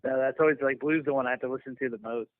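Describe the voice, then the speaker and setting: A man being interviewed as a touring bassist, chatting casually and loosely in a laid-back way over a lo-fi phone line. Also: fairly even intonation, rapid-fire, no room sound. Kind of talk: casual chat.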